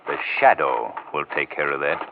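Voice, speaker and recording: An actor playing a calm, wry, authoritative gentleman sleuth in a 1940s radio drama. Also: a bass voice, normal speed, vocal fry, over loud music, exaggerated voice. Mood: wry, assured. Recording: hissy, tinny old radio recording.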